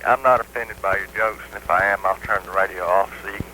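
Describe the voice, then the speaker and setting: A man's voice: fairly low pitch, steady tone, fast talker, pushed and bright. A man chatting casually and plainly as a caller on a radio phone-in. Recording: noisy phone-in line on radio.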